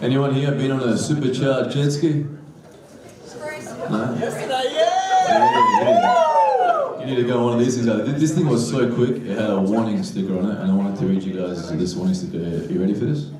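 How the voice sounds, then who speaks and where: A man's voice: medium pitch, wildly swooping pitch, moderate pace. A man from Iraq doing a crude, blunt stand-up comedy set on a club stage. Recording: roomy club sound from a distance with loud crowd laughter.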